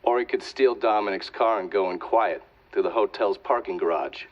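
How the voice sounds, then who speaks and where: An actor playing a reflective storyteller in a video game, recounting crimes in a conversational, matter-of-fact documentary style. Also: male voice, lowish voice, normal speed, highs cut off, slight background noise, natural voice.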